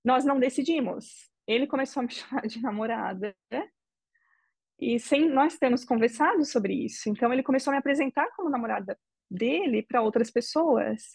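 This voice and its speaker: A Brazilian woman interviewee telling her personal story in a measured, reflective way, often ending phrases with a questioning tag. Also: fairly high pitch, gravelly, darkish tone, slightly rough, dry recording. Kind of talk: emotional personal testimony.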